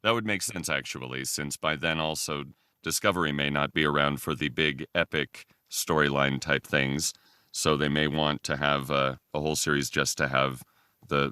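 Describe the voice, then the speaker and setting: A man speaking as a podcast guest in casual fan discussion, haltingly thinking aloud. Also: extremely low pitch, sharp tone, clear, resonant tone, no room sound, clean studio sound.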